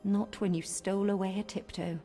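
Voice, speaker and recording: An actress playing an earnest, pleading younger sister of a noble family, reading formally and dramatically in a game cutscene. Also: female voice, medium pitch, fairly even intonation, dark tone, natural voice. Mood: grieving, pleading. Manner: formal, imploring, measured. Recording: long reverb, faint music.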